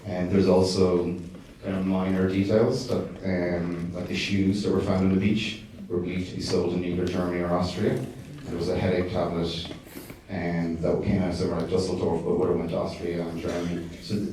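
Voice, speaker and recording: A man's voice: low voice, little pitch movement, moderate pace, dark tone. A man, a documentary director, answers audience questions at a festival screening, hesitant and full of fillers and restarts. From Ireland. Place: screening hall. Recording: distant echoey hall, faint noise.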